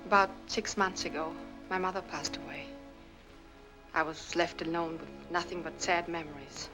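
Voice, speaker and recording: An actress playing a troubled, demure young French widow in a 1940s Hollywood film. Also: female voice, on the low side, steady tone, moderate pace, close to an everyday voice. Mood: troubled, sorrowful. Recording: old film soundtrack, music behind.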